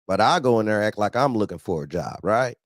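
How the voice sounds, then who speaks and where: A man's voice: on the low side, fairly quick, no room sound. Slangy man hosting, dry close sound. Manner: slangy, animated anecdote telling with acted-out quotes. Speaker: call-in show host. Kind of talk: casual trucking chat and storytelling.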